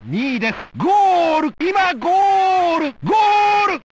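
A man playing an excitable 1960s sports announcer, calling events in a formal, dramatic, declamatory broadcast style for a video game. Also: extremely high pitch, measured pace, raised voice, slight background noise. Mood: thrilled, shouting. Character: excitable male 1964 Olympic TV and radio sports announcer. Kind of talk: retro 1960s Japanese sports broadcast commentary.

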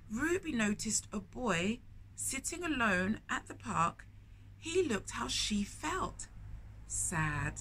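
A woman in her thirties reading a picture book aloud to young children, with expressive drawn-out emphasis and questions put to the listener. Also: on the high side, slight background noise, low-quality recording. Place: indoors in a bookshop, in front of shelves.

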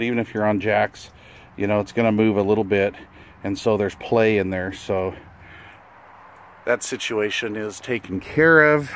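A man giving a casual, plain-spoken hobbyist walkthrough, explaining a setup in informal words as he records on a handheld camera outdoors. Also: on the low side. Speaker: amateur radio hobbyist presenting his setup. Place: outdoors beside a camper trailer, briefly inside it. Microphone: handheld camera or phone, held by the speaker.

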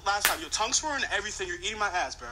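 A man's voice: high voice, animated, very fast, a crowd in the background. A young man with a slangy, insistent, repetitive way of talking, as if on a phone call. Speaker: prankster on a fake phone call. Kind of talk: staged casual phone conversation.

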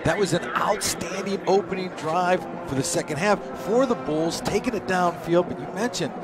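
A man's voice: high voice, moderate pace. Chatty male color commentator over a crowd. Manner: conversational, repeats words while thinking, chuckles. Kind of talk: football color analysis. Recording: broadcast with crowd noise behind.

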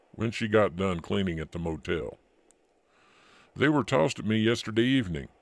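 A man's voice: fairly low pitch, no echo, lightly acted. A man playing a friendly, earnest blind street informant in an old-time radio drama, talking in a folksy way.

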